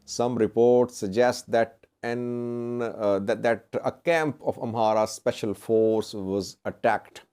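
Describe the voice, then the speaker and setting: A man presenting news commentary to viewers in halting, deliberate phrasing, often correcting himself. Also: mid-range voice, fairly even intonation, dark tone, clean tone. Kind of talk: semi-scripted news commentary.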